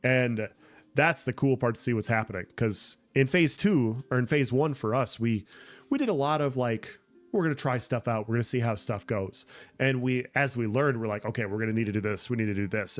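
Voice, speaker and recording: A man's voice: mid-range voice, a little fast. A man chatting casually on a gameplay livestream, answering viewer comments as he plays. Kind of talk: casual gameplay stream chat.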